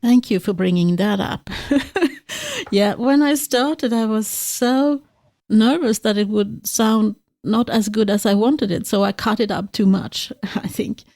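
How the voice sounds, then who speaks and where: A woman's voice: medium pitch, measured pace, a little mellow, no room sound, clean studio sound. A woman with a Swedish accent, a podcast host speaking earnestly and reflectively in an interview conversation. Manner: earnest, reflective, frequent restarts.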